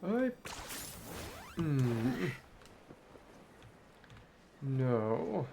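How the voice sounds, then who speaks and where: A man giving casual solo commentary as a game streamer, musing aloud in short, halting remarks. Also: medium pitch, sing-song, vocal fry, background noise.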